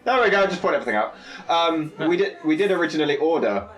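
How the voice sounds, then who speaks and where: A man's voice: fairly high pitch, very animated, fast. A man who made and acted in the film, talking casually on a cast commentary. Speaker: filmmaker and actor on a cast commentary.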